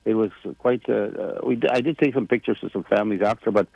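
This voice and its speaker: A man in his sixties talks in a loose, rambling way, full of fillers and restarts. His voice has a gravelly, fried edge, and the sound is dry and close. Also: fairly low pitch, steady tone, quick pace, a little mellow, dry recording, lo-fi sound. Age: 60s. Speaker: president of a government, radio guest. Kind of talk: casual radio chat.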